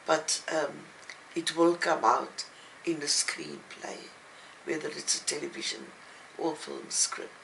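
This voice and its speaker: Older woman, slow halting delivery, gravelly creak, reflective interview talk, faint background noise. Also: low voice, steady tone. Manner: halting, thoughtful, with long pauses. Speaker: novelist and playwright being interviewed. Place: at home, indoors.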